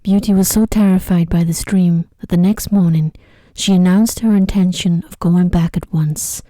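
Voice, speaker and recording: A woman reads a bedtime story as soft ASMR narration. Her voice is lowish and extremely mellow, hushed and gentle with touches of whisper. The sound is close and dry. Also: fairly even intonation, moderate pace, no room sound.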